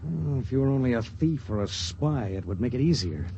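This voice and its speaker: An actor playing a grim, conscience-torn spaceship pilot in 1950s radio drama style. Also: male voice, medium pitch, lively intonation, fairly quick, dark tone, natural voice. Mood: brooding. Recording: noisy, dull 1950s radio recording.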